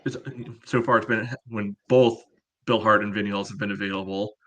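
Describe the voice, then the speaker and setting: A man co-hosting a podcast, chatting casually about sport with a hedging, measured way of making points. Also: lowish voice, fairly even intonation, a bit bright. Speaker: podcast co-host.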